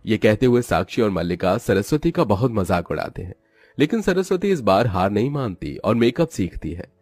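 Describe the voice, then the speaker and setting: A man's voice: fairly low pitch, big pitch swings, normal speed, a little mellow, no echo. A man narrating a scripted moral story as its storyteller.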